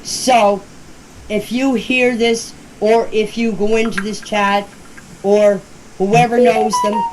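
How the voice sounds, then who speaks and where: A woman's voice: mid-range voice, little pitch movement, slow pace, darkish tone, slight background noise, rough recording. A woman in her fifties giving informal personal voice messages in a plainspoken, earnest, emphatic way, repeating herself for stress. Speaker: community member speaking about a case. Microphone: phone voice message.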